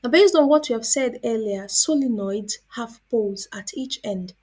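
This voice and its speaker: Woman science teacher, higher voice with a slightly husky edge, deliberate scripted explainer delivery. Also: a little mellow. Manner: deliberate, explanatory, poses questions then answers them. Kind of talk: scripted educational narration.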